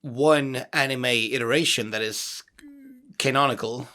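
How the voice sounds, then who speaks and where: A man's voice: medium pitch, unhurried, pushed and bright. A man guesting on a casual movie commentary, easygoing with short enthusiastic remarks.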